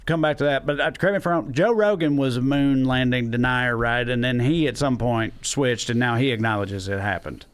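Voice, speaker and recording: A man's voice: mid-range voice, fairly quick, hardly any pauses, clear, resonant tone. Profane, emphatic man bantering on a podcast. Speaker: podcast co-host. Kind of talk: casual comedic podcast banter.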